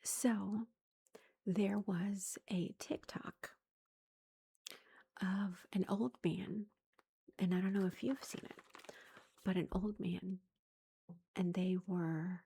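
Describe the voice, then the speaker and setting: A woman in her thirties, a mother, telling a story in a soft whisper, chatty and amused. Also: fairly low pitch, vocal fry, slightly rough.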